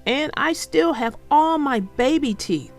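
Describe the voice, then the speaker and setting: A woman's voice: high voice, animated, takes it slowly, soft background music, voice pushed up, exaggerated voice. A woman voicing a mild, patient seven-year-old boy in a children's storybook, earnest and unruffled. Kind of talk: children's storybook read-aloud.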